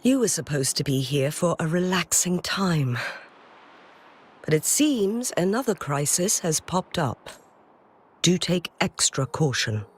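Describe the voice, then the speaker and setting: An actress playing a dutiful, courteous military commander in a fantasy video game, speaking formally and politely. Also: female voice, lowish voice, animated, measured pace, slightly rough. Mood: earnest, courteous. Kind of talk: scripted video game dialogue. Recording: music behind the voice.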